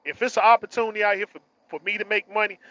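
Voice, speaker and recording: Man, early 40s, high bright voice, slangy and emphatic vlog monologue that circles back on phrases; dry, muffled lo-fi sound. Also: flat delivery, very fast, no echo. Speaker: truck driver vlogger. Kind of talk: casual motivational monologue.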